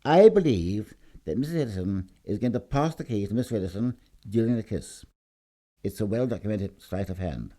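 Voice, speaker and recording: A man's voice: fairly low pitch, animated, normal speed, mellow. A man voicing a brash, suspicious male customer in a story, challenging and insistent.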